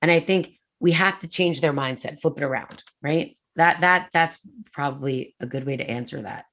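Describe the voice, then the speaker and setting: Low-voiced animated businesswoman. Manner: fast, animated, filler-heavy. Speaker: prefab industry executive. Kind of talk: conference talk conversation.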